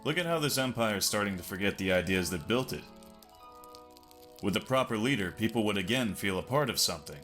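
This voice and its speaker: A man's voice: fairly low pitch, expressive, fairly quick, a bit bright, faint music behind. A man voicing a confident, ambitious young imperial soldier in a video game fan dub. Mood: idealistic.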